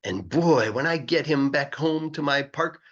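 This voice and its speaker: Man reading rhymes, lively husky voice. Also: fairly high pitch, measured pace, lo-fi sound. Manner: playful, emphatic delivery of verse. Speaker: English teacher reading aloud. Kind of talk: expressive read-aloud of a rhyming children's book.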